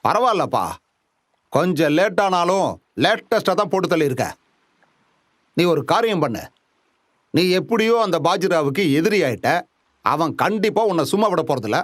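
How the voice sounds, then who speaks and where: A man's voice: high-pitched, sing-song, moderate pace, long pauses, no room sound, studio quality. An actor dubbing a scheming boss who talks down menacingly to a hired man. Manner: menacing, condescending.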